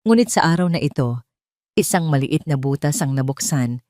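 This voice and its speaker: A woman narrates a scripted romance drama as a storyteller. Her voice is slightly low, and the recording is dry with very little room sound. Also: expressive, normal speed, darkish tone, dry recording.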